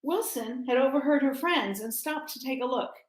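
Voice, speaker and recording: A woman's voice: high-pitched, moderate pace. A woman speaking simply to children as a church storyteller at story time. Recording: roomy echo.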